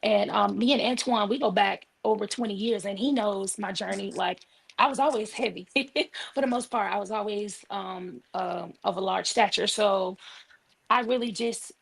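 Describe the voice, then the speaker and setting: An earnest woman in her forties telling her story in a panel conversation. Her voice has heavy fry and a husky, breathy edge. She talks in steady, heartfelt testimony on a remote call. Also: medium pitch, moderate pace, low-quality recording. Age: 40s. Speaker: worship leader and singer. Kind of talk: casual panel chat.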